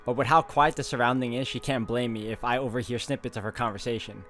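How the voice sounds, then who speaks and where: Male walkthrough host, medium pitch, expressive story reading, casual asides, soft background music. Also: fast. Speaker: video game walkthrough host. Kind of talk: reading visual novel narration aloud with casual asides.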